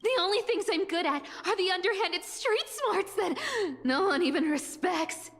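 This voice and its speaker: A woman playing a street-smart, expressive young female thief in a video game, playful but insecure underneath. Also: very high voice, normal speed, vocal fry, heavily acted. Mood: frustrated. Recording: reverberant hall, music underneath.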